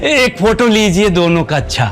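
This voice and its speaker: An actor playing a flamboyant, cheeky hustler of a court fixer who talks in rhyming verse in an audio drama. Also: male voice, high-pitched, sing-song, moderate pace, faint music behind. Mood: jubilant. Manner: theatrical, speaks in rhyming couplets. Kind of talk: Hindi audio drama acting, sing-song verse.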